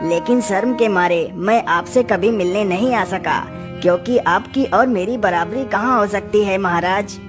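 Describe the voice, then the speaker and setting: A woman's voice: mid-range voice, normal speed, natural voice. Woman voicing a humble cartoon king over music. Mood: humble, apologetic. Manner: humble, formal. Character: humble, deferential king, father of a princess. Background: clear background music.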